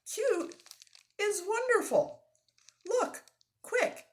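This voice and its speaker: A woman's voice: extremely high pitch, very animated, very slow, pitched above the performer's own voice, exaggerated voice. A woman voicing an exuberant French-Canadian lumberjack in a storybook, with a put-on French accent and emphatic broken English. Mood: excited, amazed.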